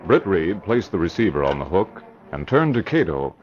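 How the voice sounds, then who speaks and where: A male narrator delivers scripted radio crime drama in a fairly low voice. The old recording is muffled, and music plays clearly behind him. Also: sing-song, normal speed.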